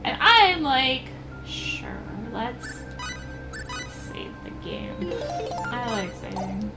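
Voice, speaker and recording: A woman hosts a let's play in a slightly high voice, chatty and wry. She comments casually and reads dialogue aloud, with game music clearly audible behind her. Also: very animated, drawn-out words, poor audio.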